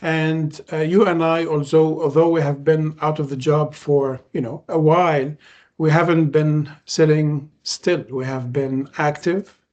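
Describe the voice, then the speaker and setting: A man from Lebanon, Arabic his first language, hosts a podcast chat in a voice pitched somewhat high. He talks in measured phrases, often pausing on "uh" as he gathers his thoughts. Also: steady tone, darkish tone, muffled recording. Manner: measured, frequent "uh" pauses. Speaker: podcast host. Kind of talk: casual podcast conversation.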